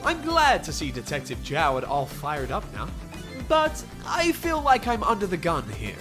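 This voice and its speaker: A woman's voice: lowish voice, wildly swooping pitch, unhurried. A woman voicing an anxious, wry amnesiac ghost who is determined to help, in a game fandub. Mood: wry, worried. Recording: voice under prominent music.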